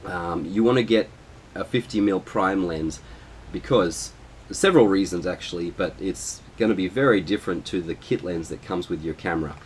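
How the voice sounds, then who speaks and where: Very low male voice, congested from a cold, relaxed vlog-style explainer. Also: normal speed, a little hiss or hum. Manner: relaxed, lots of ums and uhs. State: nasal from a cold. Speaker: photography vlogger. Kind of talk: casual tutorial talk to camera.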